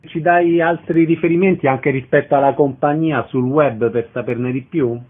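A man's voice: fairly high pitch, steady tone, normal speed. A man hosting radio interviews and putting questions to guests. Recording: narrow-band tinny radio sound.

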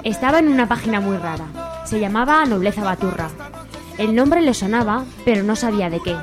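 A woman's voice: medium pitch, expressive, brisk, music in the background. A woman with an accent from Spain reading scripted narration for a radio drama.

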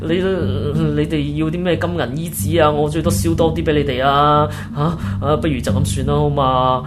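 A man's voice: fairly high pitch, fairly even intonation, moderate pace, music in the background, lightly acted. A man playing a frightened businessman in a radio drama, stammering and wheedling as he pleads. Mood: pleading. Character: frightened, pleading businessman begging ghosts for mercy.

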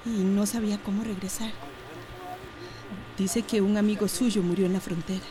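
A woman's voice: medium pitch, steady tone, normal speed, mellow, lightly acted. An actress playing a worried, polite middle-aged village mother in a radio drama. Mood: worried, relieved. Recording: crowd murmur behind.

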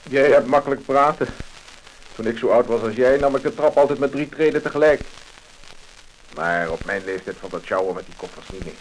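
A man's voice: medium pitch, fairly quick, lightly acted, poor audio. Actor as a puffing, grumbling Dutch father, hissy record. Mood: grumbling, defensive. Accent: Netherlands Dutch. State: out of breath. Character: puffing ageing family father, defensive about his age. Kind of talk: 1950s scripted radio-style acting. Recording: hissy mid-century disc recording.

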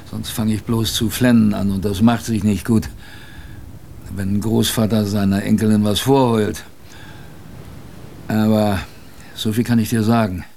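An actor playing an old grandfather and polar expedition survivor, telling his tale tenderly and proudly in a radio drama, with reflective pauses. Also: on the low side, vocal fry, a little mellow, a little hiss or hum. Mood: moved, proud. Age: older.

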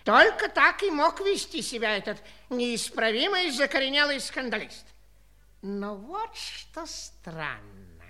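An ironic, sceptical woman in a children's radio play. Her bright voice sits fairly high and moves in lively swoops, with slow theatrical emphasis. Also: exaggerated voice. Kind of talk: children's audio play acting.

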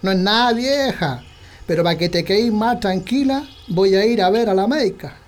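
A man's voice: high voice, moderate pace, lightly acted. A man playing a stubborn, boastful old farmer in a radio drama, with a rural Chilean accent and folksy country speech. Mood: gruff, dismissive.

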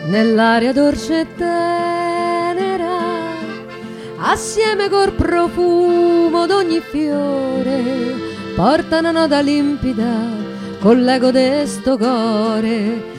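A woman's voice: high-pitched, steady tone, extremely slow. A woman with a Roman accent singing traditional Roman songs as part of a music-theatre show. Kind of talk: Roman folk song singing. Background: acoustic guitar and other plucked strings.

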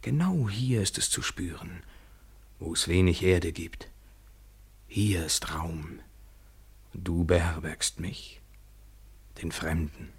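Deep, raspy, yearning lover. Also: male voice, slow, long pauses, full character voice. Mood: tender, urgent. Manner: intimate, lyrical, sometimes near whisper. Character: tender, yearning male lover, a foreigner. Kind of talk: poetic radio play acting.

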